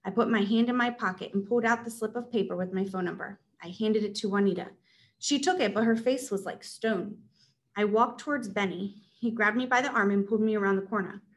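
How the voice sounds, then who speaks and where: A woman's voice: medium pitch, fairly quick. A woman reading a children's story aloud as its narrator. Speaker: read-aloud narrator at a school.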